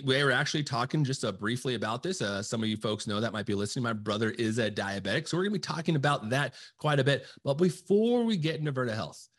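A man's voice: mid-range voice, slightly rough. A man hosting a podcast, asking questions in a casual, stumbling way, with a headset and mic in a room with bookshelves. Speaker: podcast host. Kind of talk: interview conversation. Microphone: headset with studio mic.

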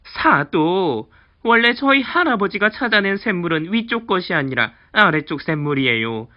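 A man's voice: high voice, big pitch swings, normal speed, highs cut off, pitched above the performer's own voice. A man voicing a greedy, scheming old village man who pleads and wheedles with an official in a storybook reading. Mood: wheedling.

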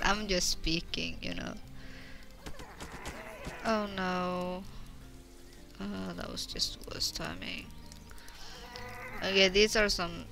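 A woman's voice: lowish voice, vocal fry. A woman streaming a crafting game, chatting casually, muttering to herself and answering chat questions. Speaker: gameplay streamer. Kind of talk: casual let's-play commentary.

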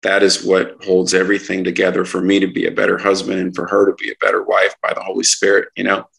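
A man talking as a truck driver guest in an interview, earnest and halting, with many restarts. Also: low voice, completely flat delivery, gravelly, fairly bright tone, poor audio. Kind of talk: casual interview talk.

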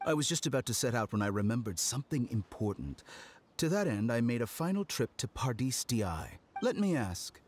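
A smug masked villain scholar. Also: male voice, medium pitch, big pitch swings, moderate pace. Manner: smooth, condescending, coolly polite. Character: suave, arrogant masked male villain scholar. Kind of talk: video game voice acting. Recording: game audio with soft music behind.

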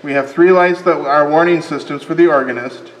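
A man's voice: on the high side, a little fast, highs cut off. Older man in an echoing church. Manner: plain, patient step-by-step explaining. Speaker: church organist. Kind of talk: casual explanation. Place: large church sanctuary. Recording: reverberant church hall with faint ambience.

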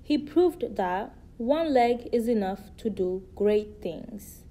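A woman reading a picture book aloud in a measured way, stressing key words. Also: fairly high pitch, expressive, warm tone. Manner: measured storybook reading with emphasis. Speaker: student reader.

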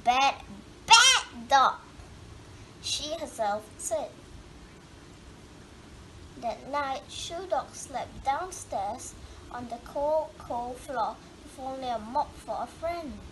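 A woman's voice: high-pitched, slow pace. A woman reading a children's picture book aloud expressively, drawing out words for dramatic emphasis. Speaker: storybook reader. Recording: clearly noisy home recording.

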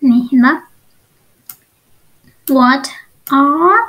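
A woman teacher with a Lao accent and a high voice gives an online English lesson. She speaks very slowly, going step by step and often checking with short questions that the learner follows. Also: expressive, muffled recording.

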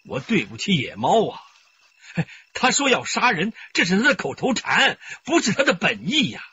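A fretful old village father with a very sharp, bright voice, his pitch leaping wildly up and down. The audio is dull and lo-fi, like a broadcast radio play. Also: huge pitch swings, normal speed, a little hiss or hum, heavily acted. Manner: agitated, fretful, shouting orders. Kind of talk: broadcast radio drama acting.

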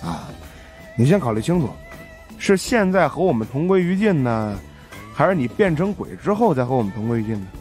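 A man's voice: mid-range voice, sing-song, brisk, a little mellow, faint music behind, pitched above the performer's own voice, lightly acted. A man voicing a cocky, wisecracking fat male investigator in a mocking, flippant way for an audiobook.

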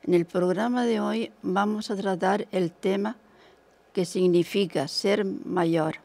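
A woman in her eighties with a Canary Islands Spanish accent, reading a prepared introduction slowly and carefully into a handheld mic on a theater stage. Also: mid-range voice, fairly even intonation, vocal fry, mellow. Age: 80s. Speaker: senior workshop participant. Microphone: handheld mic held by another person.

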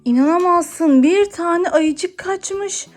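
A woman's voice: very high-pitched, slow pace, faint music behind. A woman playing toys with her young son, narrating playfully for children and encouraging him with questions.

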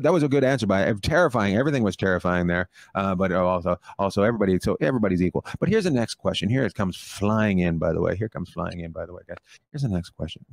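A man's voice: on the low side, gravelly, no room sound. A man in his forties hosting a comedy panel over a video call, teasing and mocking his guests. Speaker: comedy show host.

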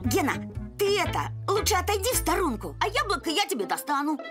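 Excitable high-pitched cartoon puppy boy. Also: fast, over music. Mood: earnest warning. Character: excitable, dramatic young puppy boy, loyal kid brother. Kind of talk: children's cartoon acting.

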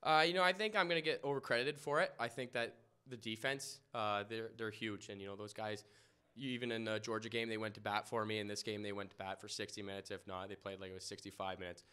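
A young man answering reporters after a game in an indoor press room, humble and halting, with many fillers and restarts. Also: on the low side, steady tone, pushed and bright, clean tone. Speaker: lacrosse goalie. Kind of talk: post-game press interview. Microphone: podium mic in front.

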